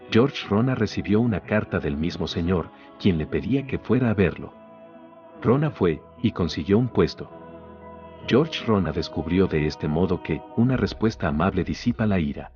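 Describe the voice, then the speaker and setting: A man narrating a self-help audiobook in a steady, even reading voice, reading the quotes in his own voice. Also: low-pitched.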